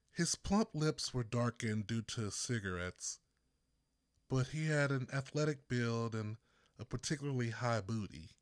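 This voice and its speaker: Slow, measured male storyteller, dry close sound. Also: medium pitch, dry recording. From Texas, United States. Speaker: author reading own audiobook. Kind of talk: scripted first-person narration.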